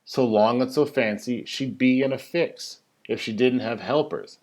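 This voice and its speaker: A man who works as a teacher reads a children's story in rhyming verse, with an expressive, sing-song delivery that stresses key words. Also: mid-range voice, measured pace. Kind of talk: animated storybook read-aloud of rhyming verse.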